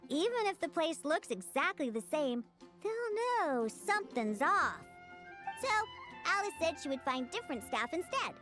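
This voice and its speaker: Very high, wry young female bartender. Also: very animated, moderate pace, over music. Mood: casual explaining. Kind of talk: video game voice acting.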